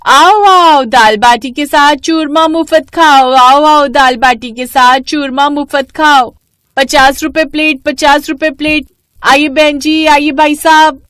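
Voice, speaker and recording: A dutiful young village daughter-in-law in a cartoon moral tale, played by a woman narrator, with a fairly high voice. She sounds caring, earnest and expressive. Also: takes it slowly, no echo, studio quality. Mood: bright hawking.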